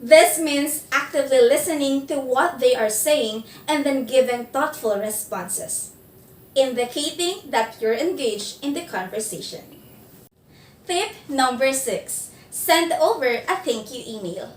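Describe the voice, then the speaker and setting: A woman presenting a scripted instructional video, giving phone interview tips in a measured, clear delivery. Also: high voice, animated, echoey room, far from the mic.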